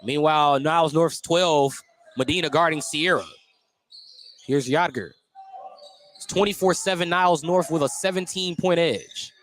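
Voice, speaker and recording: A man's voice: fairly high pitch, moderate pace, a bit bright, a little hiss or hum. An American man doing live radio play-by-play commentary of a basketball game as the announcer.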